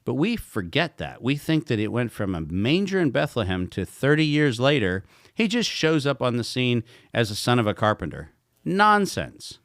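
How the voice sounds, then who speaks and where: A man's voice: mid-range voice, sing-song, normal speed, a bit bright, no echo, clean studio sound. A man speaking as a guest expert in a podcast conversation, talking emphatically and posing rhetorical questions.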